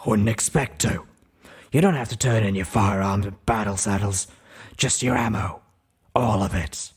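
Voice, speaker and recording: A man's voice: fairly low pitch, moderate pace, slightly rough. A man voicing a sceptical, grudging male guard pony with a curt manner in a storybook reading. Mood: curt, demanding.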